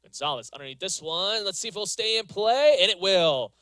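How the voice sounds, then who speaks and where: Bright, forceful male play-by-play announcer. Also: high-pitched, animated, fairly quick, no room sound. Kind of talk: live softball play-by-play commentary. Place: live broadcast from a softball stadium.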